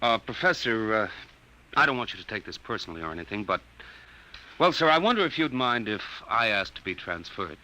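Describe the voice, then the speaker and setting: A man's voice: lowish voice, big pitch swings, fairly quick, sharp tone, exaggerated voice. An actor playing an earnest, polite young male research assistant with a deferential manner in an old-time radio drama. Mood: polite, eager. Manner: deferential, hesitant with superiors, earnest. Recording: faintly noisy vintage radio recording.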